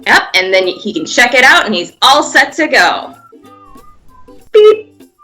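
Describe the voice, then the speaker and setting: A woman's voice: sits high, big pitch swings, unhurried, bright, forceful tone, soft background music. A woman guiding young children through pretend play, giving simple encouraging step-by-step prompts with playful sound effects. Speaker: children's museum presenter leading a pantomime activity.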